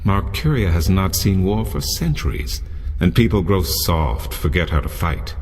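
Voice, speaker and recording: A wise, ancient old mentor from an adventure game, slow and formal. His voice is extremely deep and gravelly, with a long reverb behind it. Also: lively intonation, a little mellow. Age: older. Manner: solemn, measured, formal.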